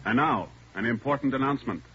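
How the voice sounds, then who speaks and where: Formal American vintage radio announcer, muffled. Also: male voice, mid-range voice, expressive, fast. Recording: muffled old radio recording with music.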